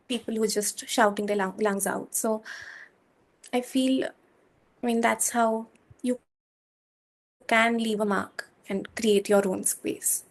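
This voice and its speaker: A woman in her twenties with a soft voice, answering interview questions in a measured, hesitant way with many fillers. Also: medium pitch, gravelly. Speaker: guest, software engineer.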